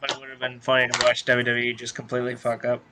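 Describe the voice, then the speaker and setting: A man's voice: medium pitch, monotone, fast talker, crowd noise. A man on a podcast panel giving blunt, contrarian, sweary opinions in casual chat.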